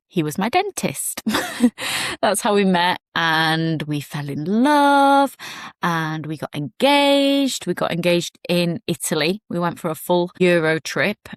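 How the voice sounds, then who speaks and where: Chatty young Yorkshire woman, lowish voice. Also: dry recording, studio quality. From England, Yorkshire. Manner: chatty and warm, with giggles and fillers. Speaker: podcast host. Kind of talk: casual solo podcast chat.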